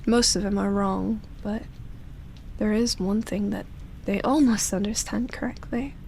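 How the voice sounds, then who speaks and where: A woman playing a seductive, tender yet obsessive immortal vampire in an intimate ASMR roleplay, breathy and teasing, slipping into whispers. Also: mid-range voice, fairly even intonation, measured pace, slight background noise. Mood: earnest, possessive. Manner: breathy teasing, soft sighs and chuckles, whispered phrases.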